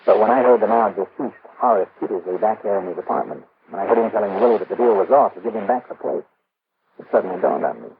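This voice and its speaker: Lively radio detective, thin old recording. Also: male voice, on the low side, quick pace. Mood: matter-of-fact. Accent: American. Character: wry, hard-boiled male insurance investigator. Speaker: lead actor of a detective series. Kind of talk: classic radio detective acting. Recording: narrow-band, noisy old radio recording.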